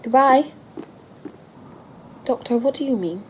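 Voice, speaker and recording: A woman's voice: high-pitched, takes it slowly, darkish tone, slight background noise, rough recording. A woman playing a curious, earnest companion to a time traveller in an audio drama. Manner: questioning, earnest. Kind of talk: scripted audio drama acting.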